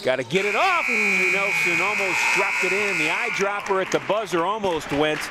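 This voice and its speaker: A man doing play-by-play for a live college basketball game, lively and joking as he calls the action. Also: high voice. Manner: lively, joking, calls plays in quick bursts. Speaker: play-by-play announcer. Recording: loud crowd and gym noise behind.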